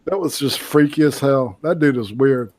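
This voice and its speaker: A man's voice: mid-range voice, moderate pace, darkish tone. A man telling a story in a casual podcast chat, swearing freely.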